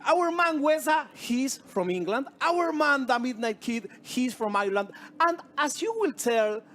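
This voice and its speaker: A man's voice: very high voice, animated, a little slow, a bit bright. A man from Argentina hosting a live esports stream, friendly and laughing, thanking viewers and staff. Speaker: host.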